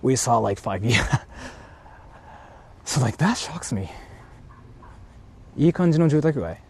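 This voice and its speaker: A young man, walking around outdoors and chatting casually with livestream viewers, often speaking in a hushed, murmuring voice. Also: medium pitch, lively intonation, measured pace, husky. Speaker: vlogger streaming while exploring. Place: outdoors walking through an empty housing estate.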